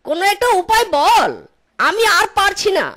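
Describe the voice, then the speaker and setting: A woman's voice: very high voice, expressive, normal speed, fairly bright tone. A woman voicing a hapless, aggrieved young male fox husband in a comic cartoon, with exaggerated complaining delivery. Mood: distressed. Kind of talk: Bangla comic cartoon voice acting. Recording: strong noise behind the voice.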